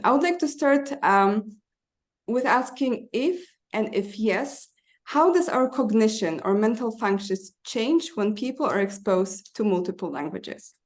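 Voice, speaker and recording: Careful, formal woman interviewer. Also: fairly high pitch. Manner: careful, formal, with hesitant fillers. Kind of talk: reading a scripted introduction, then asking questions.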